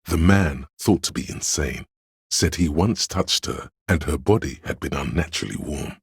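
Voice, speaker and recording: Erudite inner voice, extremely low pitch, husky and rasping, measured grandiloquent game narration, reverent. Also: male voice, no echo, studio quality, exaggerated voice. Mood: solemn, scholarly. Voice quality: deep, gravelly. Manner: measured, grandiloquent, savoring words. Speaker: game voice actor. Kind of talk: dramatic scripted narration.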